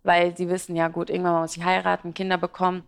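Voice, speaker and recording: A woman's voice: on the low side, completely flat delivery, fast, creaky, clear, resonant tone. A woman in her twenties, born in Iraq with Aramaic as her first language, answering interview questions as a blogger in a talkative, rambling way with fillers. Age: 20s. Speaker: beauty and fashion blogger, guest.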